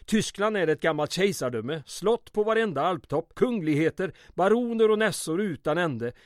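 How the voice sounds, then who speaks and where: Guy acting nervous wry fixer type, high voice, dry close sound, keeps reassuring, old radio play. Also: lively intonation, no room sound. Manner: hesitant, reassuring, dryly ironic. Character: nervous, placating, wryly weary male go-between. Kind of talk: old time radio comedy drama acting.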